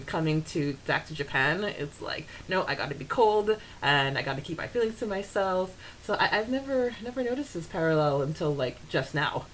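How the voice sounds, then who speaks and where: A woman with a slightly low voice co-hosts a podcast about a book. She talks casually and giggly, moving between chat and read-out quotes, with some hiss on the recording. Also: poor audio. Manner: giggly, often laughing. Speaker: podcast co-host. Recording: hissy background noise.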